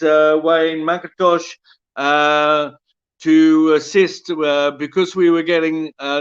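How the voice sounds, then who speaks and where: A man speaking on a committee call, halting with many pauses between phrases. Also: on the high side, a bit bright, clear, resonant tone. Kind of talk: committee meeting discussion. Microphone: online call. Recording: dull lo-fi call audio.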